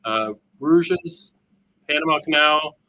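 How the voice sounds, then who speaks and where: A Canadian man co-hosting a live stream, reading viewer questions in a brisk, upbeat way. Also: fairly high pitch, creaky, sharp tone, poor audio. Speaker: cruise vlog co-host.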